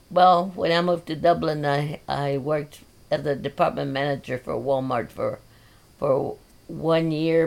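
A middle-aged woman chats casually in a gravelly voice. She speaks haltingly and restarts her sentences, with plenty of fillers. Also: sits low. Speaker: guest, mother of the host. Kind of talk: casual family chat.

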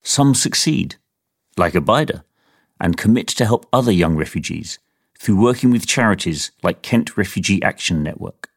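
A man reading scripted narration for a documentary podcast in a measured, steady way. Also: sits low, gravelly, breathy edge.

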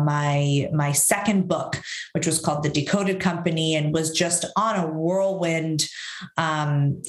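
A woman with a low voice talks candidly in an interview, looking back on her busy past with frank, reflective wording. Her voice carries a noticeable echo from the room around her. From Syria. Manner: reflective, frank, lists events in quick runs. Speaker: guest, author and professor.

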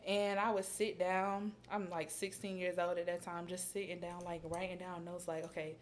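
A woman in her early twenties, a designer guest, talking in a relaxed, reflective way with many "like" fillers. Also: lowish voice, normal speed, slight background noise. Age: 20s. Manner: relaxed and reflective, lots of "like" fillers, trails off softly. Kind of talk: casual interview chat.

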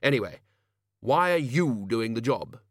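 A man's voice: medium pitch, lively intonation, measured pace, no echo, clean studio sound. A man voicing a pompous, hearty older male wizard who runs a college, in an audiobook. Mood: self-assured. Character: blustering, self-assured older male wizard, head of college. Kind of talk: audiobook character acting.

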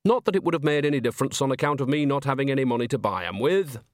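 A man's voice: mid-range voice, animated, very fast, no echo, clean studio sound, close to an everyday voice. A man voicing a chatty, homely, cheeky old woman witch in an audiobook, folksy and rambling. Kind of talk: audiobook character acting.

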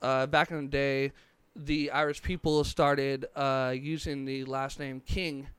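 A young man in casual crude chat, halting with "uh" and blunt with swearing. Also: mid-range voice, level tone, fairly bright tone, clear, resonant tone. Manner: hesitant with "uh", blunt and profane.

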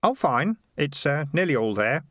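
A man's voice: fairly high pitch, very animated, normal speed, a bit bright, no room sound, clean studio sound, voice pushed up. A man voicing Keith, an earnest, mild young man who talks in an eager, worried way.

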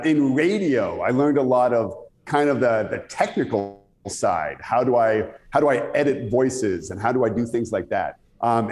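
A Canadian man speaks in an echoey, reverberant space. His radio-style voice moves in lively swings of pitch as he tells anecdotes in a conversational interview manner. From Ontario, Canada. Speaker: interview guest. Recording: echoey room with long reverb.

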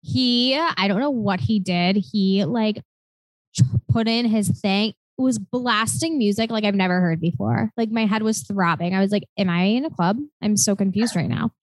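A woman in her late twenties co-hosting a podcast, telling stories in a chatty, animated way full of "like" fillers. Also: mid-range voice, moderate pace, no room sound, poor audio. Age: 20s. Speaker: podcast co-host. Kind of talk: casual chat.

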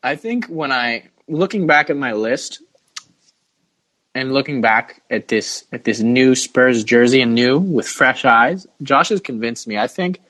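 A man's voice: mid-range voice, animated, measured pace, bright, forceful tone, no room sound, low-quality recording. A man hosting a casual sports podcast, chatting informally and giving firm opinions. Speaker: podcast host.

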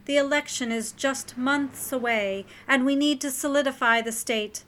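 A woman playing an imperious, scheming elderly grandmother in a 1930s noir audio drama, stern and measured. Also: high-pitched, fairly bright tone. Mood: grave, haughty. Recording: clear background noise.

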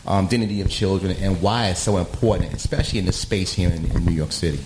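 A man's voice: low voice, fast talker, a little mellow, reverberant, a little hiss or hum. A man hosting a radio show, interviewing a guest in a casual, conversational way.

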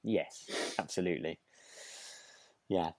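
Lowish-voiced man, dry close sound. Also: takes it slowly, dry recording. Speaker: podcast co-host. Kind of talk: relaxed fan chat about a radio drama.

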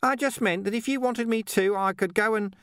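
A man doing a jittery high-voiced young corporal. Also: brisk, a bit bright, smooth, no room sound, studio quality. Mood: anxious. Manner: high, anxious, stammering over itself. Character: nervous young male watch corporal, eager and fussy.

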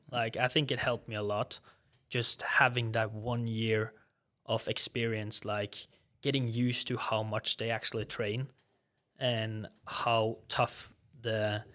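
Man, young, Norwegian accent, fairly low voice, casual guest chat, relaxed, laughs easily. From Norway. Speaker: guest, former college soccer player.

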